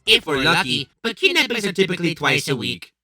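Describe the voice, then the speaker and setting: A man playing a boastful, oblivious, touchy hero in an animated comedy, cocky and easily indignant. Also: on the high side, a little fast, exaggerated voice. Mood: proud, earnest. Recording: music behind voice.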